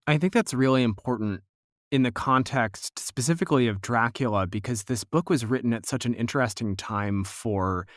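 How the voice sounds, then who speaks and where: A man's voice: medium pitch, moderate pace, dry recording, studio quality. A man hosting a conversational podcast interview, explaining things in an organized way.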